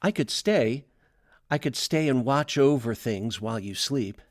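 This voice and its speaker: A man's voice: mid-range voice, lively intonation, measured pace, no echo, clean studio sound, close to an everyday voice. A man playing an anxious, protective man in a radio play, earnest and wordy as he cautions. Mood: gentle concern. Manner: urgent, earnest, wordy explanations.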